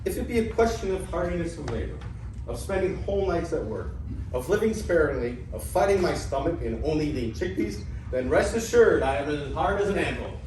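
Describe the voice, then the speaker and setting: A man's voice: fairly high pitch, animated, brisk, a little mellow, close to an everyday voice. A man playing an earthy, bumbling, debt-ridden would-be student in a theatrical reading of an ancient Greek comedy, with exclamatory delivery. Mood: eager, pleading. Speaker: amateur actor in a staged play reading. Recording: echoey room, distant mic, background noise.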